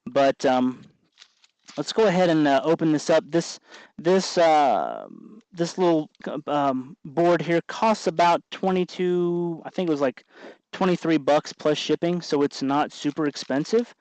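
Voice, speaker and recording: Halting man giving a hands-on tutorial. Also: fairly high pitch, darkish tone, no echo, poor audio. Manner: halting, informal, thinking aloud as he explains. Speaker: host of an electronics tutorial show. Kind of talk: unscripted hands-on tutorial narration.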